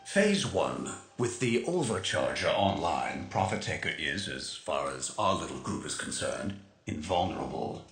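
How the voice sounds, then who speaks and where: A man acting as an analytical tech strategist for a resistance crew, explaining in a precise, measured way in a video game. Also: rumbling low, sing-song, gravelly, fairly bright tone, exaggerated voice. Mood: explanatory. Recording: echoey, music underneath. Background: music.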